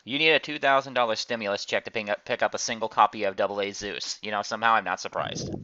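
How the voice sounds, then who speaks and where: A man's voice: on the low side, steady tone, quick pace, bright, forceful tone. A man hosting a casual podcast over online voice chat with dry, teasing delivery and slightly dull sound. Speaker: podcast host.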